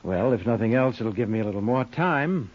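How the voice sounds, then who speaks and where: An American actor playing a composed, menacing underworld tough with wry mock courtesy in an old radio crime drama. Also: male voice, medium pitch, animated, fairly quick, close to an everyday voice. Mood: wary, composed. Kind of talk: 1940s-50s radio crime drama acting. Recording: dull old-time radio recording.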